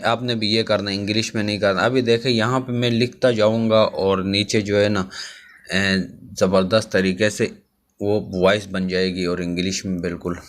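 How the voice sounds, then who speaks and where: A man presenting a casual app tutorial as a YouTube host, chatting to viewers as friends. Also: fairly low pitch.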